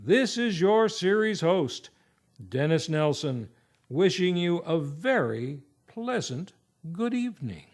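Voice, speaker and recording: A man's voice: fairly high pitch, very animated, takes it slowly. A man hosting a live radio theater show, reading a formal, theatrical sign-off in old-time announcer style. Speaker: series host and announcer. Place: theater stage, live broadcast. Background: live music.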